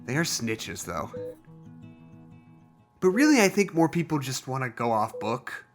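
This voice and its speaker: Soft guitar music sits behind a mid-pitched man playing an earnest, lovelorn scientist in an audio drama. He rambles and confides haltingly, resentful and searching for words. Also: big pitch swings. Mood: earnest, uneasy. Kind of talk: audio drama monologue, naturalistic.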